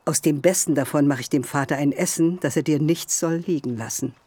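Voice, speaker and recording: A woman's voice: deep voice, fairly quick, dark tone, breathy edge, no room sound. An actress playing an imperious, scheming biblical mother in a literary radio drama, speaking tersely and at times in incantatory whispers. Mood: commanding, urgent.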